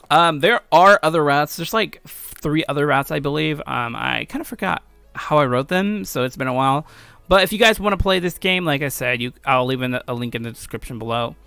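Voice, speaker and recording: A man talks casually and informally as he comments on a game he made. His voice is fairly high and very lively, with some gravel, over soft background music. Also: no echo. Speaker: video creator and game developer.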